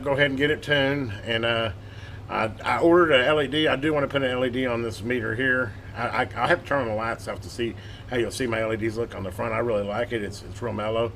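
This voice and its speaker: A man's voice: mid-range voice, fairly bright tone. Folksy man rambling through a noisy demo. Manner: rambling, folksy, self-correcting. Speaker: host of a hobby electronics repair channel. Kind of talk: casual hands-on tutorial, addressing viewers. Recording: clearly noisy workbench recording. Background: clear background noise.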